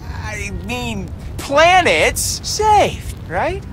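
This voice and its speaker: A man's voice: very high-pitched, big pitch swings, drawn-out words, a bit bright, a little hiss or hum, full character voice. A man voicing a cocky, wisecracking young space outlaw hero in a video game, glib and bantering. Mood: cocky, breezy. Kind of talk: cinematic video game acting.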